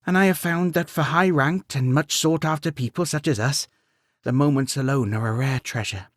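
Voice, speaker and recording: A man voicing a grieving, shrewd and kindly widowed queen, speaking with gentle but firm persuasion. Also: a little high, normal speed, no echo, clean studio sound, pitched above the performer's own voice, natural voice. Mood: fond.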